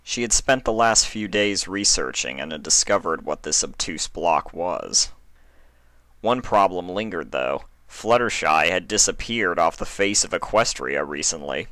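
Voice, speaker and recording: A man reading a scripted story as narrator, with dramatic, deliberate delivery. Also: low voice, expressive, bright, forceful tone, dry recording. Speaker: fan fiction reader and narrator.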